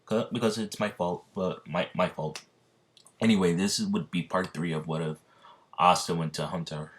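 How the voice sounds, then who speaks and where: A man's voice: deep voice, steady tone, normal speed, husky. A sleepy young man casually making up a fan story, rambling with lots of ums and restarts. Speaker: YouTuber narrating a fan story.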